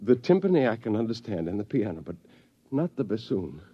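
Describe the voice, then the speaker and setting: An actor playing an anxious bassoonist who has lost his job to a robot, in a 1950s radio drama. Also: very animated, fairly quick, breathy edge, no echo. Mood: distraught. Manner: earnest, flustered, first-person narration. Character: laid-off male bassoonist, anxious, put-upon family man. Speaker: narrator and lead character. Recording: muffled 1950s radio recording with music.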